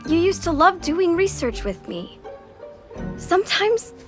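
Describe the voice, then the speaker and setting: A bright-eyed teenage girl in an audio drama, hooked on history and pushing her theories eagerly. Her voice sits high, with faint music beneath. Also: a little slow, exaggerated voice. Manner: excited and insistent.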